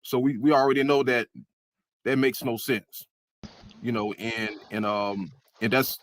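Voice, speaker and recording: A man's voice: mid-range voice, little pitch movement, measured pace, no echo, rough recording. A man in a panel debate, stammering and repeating words as he presses his point. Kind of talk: casual debate.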